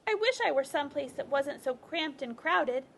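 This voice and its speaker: A restless, wistful young girl from a picture book, acted out by a woman reading aloud. Her voice is high with some creak, and she grumbles wishfully. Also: lively intonation, normal speed, a little hiss or hum. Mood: discontented.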